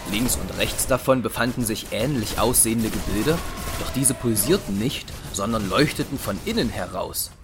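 A man narrating a scripted sci-fi audio drama as its storyteller. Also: mid-range voice. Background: music.

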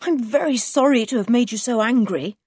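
Woman voicing a polite, hesitant older boy, raised pitch. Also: normal speed, a little mellow, breathy edge, no echo, studio quality. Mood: apologetic. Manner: hesitant, apologetic, careful.

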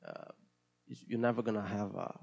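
Gravelly-voiced Brazilian man rambling casually. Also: on the low side, steady tone, moderate pace, a little mellow. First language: Portuguese. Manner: hesitant, rambling, many restarts. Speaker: podcast host. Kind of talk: casual solo podcast monologue.

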